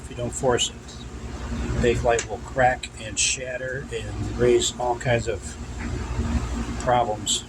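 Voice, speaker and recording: A man talks through a practical demonstration in an unscripted, instructional way, repeating his cautions. His voice sits in a very noisy indoor recording with a loud hum behind it. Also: medium pitch, level tone, takes it slowly, pauses often. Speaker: vintage radio restorer demonstrating. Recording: loud hum and background noise.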